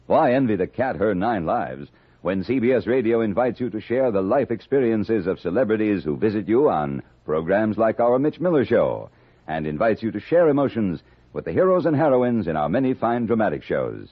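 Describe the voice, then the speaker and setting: On a dry, dull old radio recording, an American man announces a scripted network promo. His voice is lowish and husky, with a lively, swooping delivery. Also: moderate pace, no room sound.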